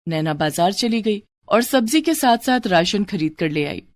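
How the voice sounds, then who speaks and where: A woman's voice: mid-range voice, expressive, normal speed, no echo, studio quality. A woman narrator reading a scripted moral story in a storytelling style.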